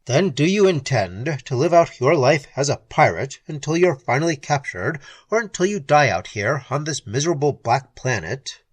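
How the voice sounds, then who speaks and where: A reader playing Keene, a soft-spoken pleading man. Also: on the high side, sing-song, normal speed, hardly any pauses, slightly rough, no room sound, pitched below the performer's own voice. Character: earnest man pleading, gentle and sad. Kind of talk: audiobook dialogue.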